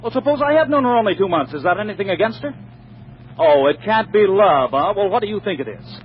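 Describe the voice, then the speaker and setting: On a dull, faintly hissy old radio recording, a lovestruck American playboy. The man's voice is somewhat high and boyish, with the breezy, stubborn emphasis of 1940s comedy acting. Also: animated, quick pace. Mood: defiant, exasperated. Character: impulsive, lovestruck rich playboy, boyish and defiant.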